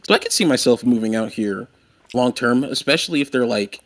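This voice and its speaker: Gravelly-voiced young man chatting on stream. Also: medium pitch, expressive, a little fast, a bit bright, dry recording. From Maryland, USA. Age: 20s. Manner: rambling, thinks aloud, repeats himself while doing setup. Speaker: streamer host. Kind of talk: casual livestream chat.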